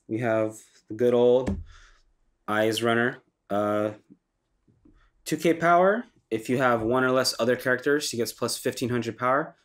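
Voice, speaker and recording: A young man with a stuffy, congested voice from a cold, hosting a casual walkthrough and talking loosely with ums and self-corrections. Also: lowish voice. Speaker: channel host reviewing a card game deck.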